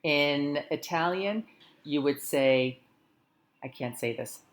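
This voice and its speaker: Woman doing a school morning greeting, low voice, slow careful teacher talk, upbeat with the kids. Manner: warm encouraging teacherly tone, slow careful reading. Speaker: school morning announcements host.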